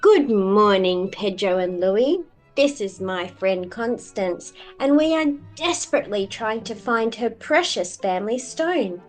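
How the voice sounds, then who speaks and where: A woman reading a children's storybook, voicing a kind young mermaid with a gentle, encouraging manner. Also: medium pitch, animated, measured pace, hardly any pauses, faint music behind, close to an everyday voice. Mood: polite, determined. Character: kind, reassuring young female mermaid helper. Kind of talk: children's storybook read-aloud.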